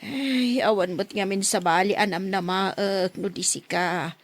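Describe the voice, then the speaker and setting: A woman's voice: medium pitch, fairly even intonation, unhurried. A woman playing a fretful, fond older mother in an Ilocano radio drama. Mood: fretful, affectionate.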